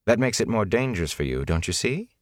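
A man voicing a cold, menacing young woman who serves a dark lord, unhurried and condescending, in an audiobook. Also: on the low side, sing-song, dry recording, clean studio sound, voice pushed up. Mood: condescending menace. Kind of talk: audiobook character acting.

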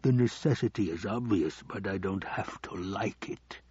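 Audiobook villain, played by a man: scheming older nobleman, husky, slow, silkily taunting, dry recording. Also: lowish voice, darkish tone, no echo, highs cut off. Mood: menacing. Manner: slow, drawn-out, taunting delivery.

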